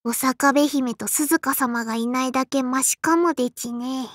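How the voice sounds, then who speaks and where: A little sparrow girl who runs an inn and wields a sword in an anime mobile game. Her voice is extremely high, bright and childlike, with a soft lisp. She speaks politely and primly, strict and dutiful, in a dry, close recording. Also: expressive, a little slow, dry recording, exaggerated voice. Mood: stern, exasperated. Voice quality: childlike, lisping. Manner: polite and prim, with a cutesy verbal tic. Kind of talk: Japanese anime mobile game character voice lines.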